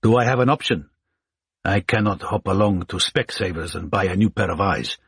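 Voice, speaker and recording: A man playing a blind older scholar who is wise and witty, speaking with courteous, measured, formal phrasing. The voice sits low and a little husky, with a dry, close sound. It is an audiobook figure. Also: fairly bright tone, no echo, exaggerated voice. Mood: calm.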